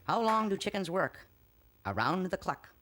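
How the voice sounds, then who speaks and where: Guy acting as a corny video game joke teller, animated setup then punchline, really noisy recording. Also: high voice, moderate pace. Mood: jokey. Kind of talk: scripted joke telling.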